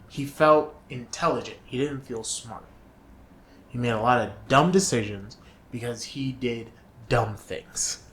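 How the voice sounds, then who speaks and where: A man with a middle-pitched voice speaks at an unhurried pace, loose and casual. He trails off and restarts his sentences as he thinks out loud. A faint hiss sits under the recording. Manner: slow, laid-back, trails off and restarts. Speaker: podcast co-host. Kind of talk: casual chat reviewing a movie.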